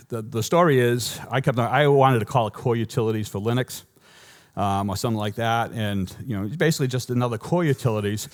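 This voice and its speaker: Male tech speaker, Boston accent, conversational and wry, mid-range voice, prone to restarts. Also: medium pitch. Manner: lively, joking, rambling with self-corrections. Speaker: conference speaker. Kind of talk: technical conference talk. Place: conference hall before an audience.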